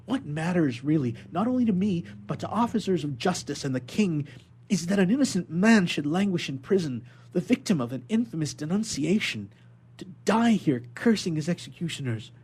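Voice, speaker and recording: A man performs an impassioned young prisoner from a classic adventure novel. The voice is pushed high and loud, with sweeping pitch and a slightly husky grain. He implores with formal courtesy and desperate earnestness. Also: big pitch swings, normal speed. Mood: humble, fervent. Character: desperate, pleading young sailor held prisoner in a dungeon. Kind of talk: dramatic audiobook character reading.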